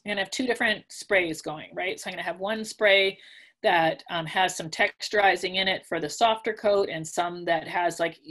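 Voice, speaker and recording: Woman teaching dog grooming, a little hoarse. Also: fairly low pitch, fairly bright tone. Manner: chatty, many ums and likes, practical. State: losing her voice, clears throat. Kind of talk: casual webinar teaching, answering questions. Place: home room. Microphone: laptop webcam video call.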